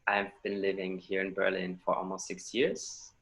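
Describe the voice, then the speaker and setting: A man's voice: sits low, little pitch movement, normal speed, gravelly, fairly bright tone. A man from Israel with an Israeli accent, a choreographer and dancer answering interview questions in an introspective way, searching for his words. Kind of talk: reflective interview talk.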